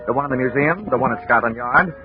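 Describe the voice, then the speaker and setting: A man acting as an American private investigator in a 1940s radio play, laconic and full of dry wisecracks. His voice is mid-pitched and flippant. The sound is thin and boxy, under clear hiss and crackle. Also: fast talker, close to an everyday voice. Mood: pondering, dry. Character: wry, wisecracking male American insurance investigator. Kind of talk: 1940s radio acting with first-person narration. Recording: noisy, narrow-band 1940s radio recording.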